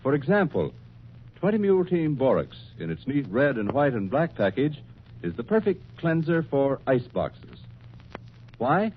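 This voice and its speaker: A man with an American accent announcing an old-time radio commercial in a measured, formal sales delivery. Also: fairly high pitch, slight background noise. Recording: thin, phone-like old radio recording.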